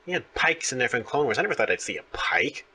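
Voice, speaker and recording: A man's voice: mid-range voice, big pitch swings, fast talker, a loud crowd. A man hosting an interview in casual fan chat, talkative and full of opinions. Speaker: interview host.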